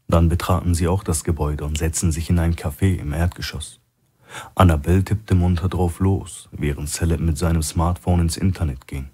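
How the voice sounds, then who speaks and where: A man's voice: low voice, fairly even intonation, normal speed, dark tone, breathy edge. A man reading a crime thriller audiobook as scripted narration.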